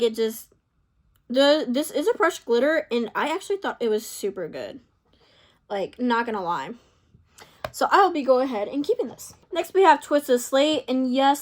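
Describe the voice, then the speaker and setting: Young woman vlogger, slightly high, chatty and rambling, voice worn from long talking. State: losing her voice. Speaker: beauty vlogger. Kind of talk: casual chat to camera.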